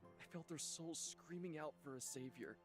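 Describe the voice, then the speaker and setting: An actor voicing a gentle, weary immortal healer haunted by old suffering, speaking slowly and softly in a dramatic visual novel style. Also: male voice, a little high, natural voice. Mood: anguished, grave. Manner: slow, halting, soft-spoken confiding. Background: background music.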